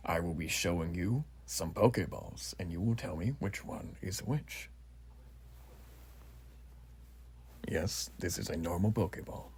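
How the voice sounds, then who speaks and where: A man's voice: low voice, normal speed, slight background noise, natural voice. A man playing an earnest, proud revolutionary army officer in a roleplay, speaking to the listener in a formal, rambling, stately way. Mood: brisk, clinical. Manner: formal, rambling, theatrically stately, hushed asides. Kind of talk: roleplay voice acting addressed to the listener.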